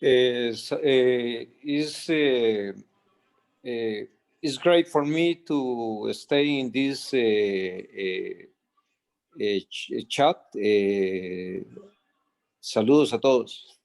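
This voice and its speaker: A man from Costa Rica whose first language is Spanish, speaking halting English with a Spanish accent and long "eh" fillers on a video call. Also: mid-range voice, a little mellow. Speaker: guest mentor. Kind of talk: brief hesitant remarks on a video call.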